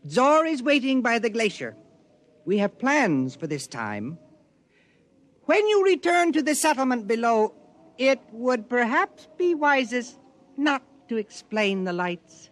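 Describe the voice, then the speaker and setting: An actor in an old-time radio drama playing a calm alien shapeshifter who speaks in formal, deliberate phrases. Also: medium pitch. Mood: cautioning. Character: calm alien shapeshifter explaining its kind to humans.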